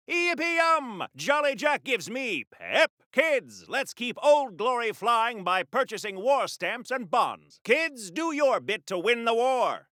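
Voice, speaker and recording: An actor playing a wholesome, pompous patriotic superhero in a video game, with an American accent and the earnest, declamatory delivery of 1940s radio serials. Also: male voice, high-pitched, sharp tone, dry recording, clean studio sound, full character voice. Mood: upbeat, promotional. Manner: declamatory, earnest, exclamatory, old-fashioned American announcer delivery. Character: golden age patriotic superhero, wholesome, pompous, righteous man.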